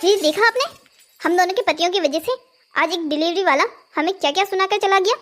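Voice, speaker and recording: High-pitched melodramatic young daughter-in-law played by a woman. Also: a little fast, fairly bright tone, soft background music. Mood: indignant. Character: young daughters-in-law, shopping-obsessed and quick to complain. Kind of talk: Hindi cartoon family drama dialogue.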